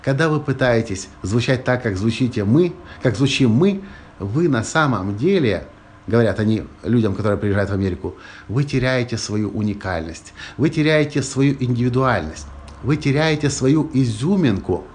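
A man's voice: medium pitch, animated, normal speed, a little mellow, a little hiss or hum. Emphatic man hosting a motivational podcast. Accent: Russian-Ukrainian. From Ukraine or Russia. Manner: emphatic, repeats key phrases, small restarts. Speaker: podcast host.

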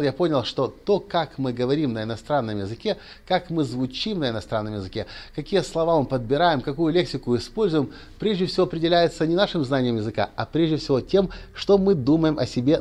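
A man's voice: mid-range voice, a little mellow. A man speaking straight to camera as a motivational speaker, earnest and lecturing, often restarting his sentences. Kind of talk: direct-to-camera monologue.

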